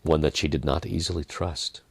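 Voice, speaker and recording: A man reading a dramatic story in a measured manner, with deliberate pauses and softened phrases. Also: a bass voice, steady tone, creaky, slightly rough, no echo. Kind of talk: dramatic scripted narration.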